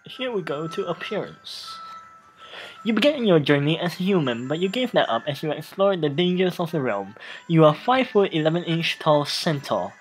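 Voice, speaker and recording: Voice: medium pitch, expressive, poor audio. A let's play host of unclear sex narrating a game casually and reading its text aloud, often stumbling and restarting. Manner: halting, stumbles over read text and restarts. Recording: music playing behind voice. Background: background music.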